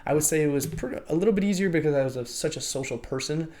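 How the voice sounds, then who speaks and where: A man's voice: a little high, very fast, mellow. A young man whose first language is Lithuanian, raised in the United States, telling his own life story in a reflective, rambling way and often hedging as he searches for words. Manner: reflective, rambling, often hedges with "I would say" and "you know". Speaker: vlogger. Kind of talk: personal life story, unscripted.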